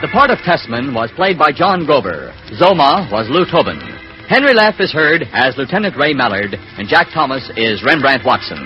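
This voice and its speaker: A man's voice: medium pitch, sing-song, moderate pace. A man announcing scripted credits in the style of 1940s radio. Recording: muffled vintage radio recording. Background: faint music.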